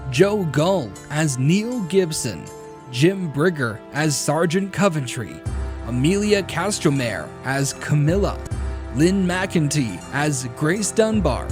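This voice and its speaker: Animated male radio drama announcer. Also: fairly high pitch. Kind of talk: scripted radio-show announcing with credits. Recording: music behind the voice.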